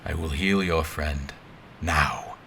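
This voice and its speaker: An actor playing a grandiose, messianic zealot commander who speaks and narrates solemnly in a dramatic audio fiction. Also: male voice, a bass voice, vocal fry, fairly bright tone, slight background noise, exaggerated voice. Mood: fervent. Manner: solemn, deliberate, messianic speechmaking. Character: grandiose zealot commander, a self-proclaimed visionary leader.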